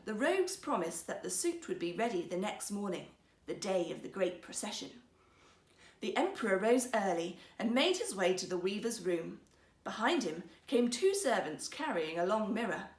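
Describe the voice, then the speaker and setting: A woman storyteller narrating a fairy tale in an expressive, theatrical, carefully phrased way. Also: mid-range voice, echoey room.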